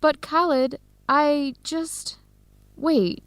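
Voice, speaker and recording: High-voiced young woman, warm-hearted student, gentle, careful diction, radio drama, dry studio. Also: steady tone, very slow, no room sound. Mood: tender, earnest. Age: young adult. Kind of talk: radio drama acting for English learners.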